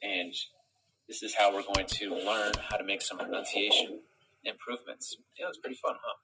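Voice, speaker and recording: A young man from Utah with a General American accent, teaching a live English pronunciation lesson in a relaxed, friendly way and greeting viewers from the chat. He sounds close to a phone camera in a small indoor room. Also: lowish voice, normal speed, background noise. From Utah, United States. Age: young adult. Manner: relaxed, friendly, explains step by step with fillers.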